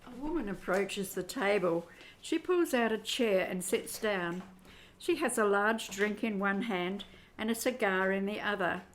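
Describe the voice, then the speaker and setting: A woman's voice: medium pitch, measured pace, slight background noise, rough recording. A woman reading scripted narration for a science fiction audio drama.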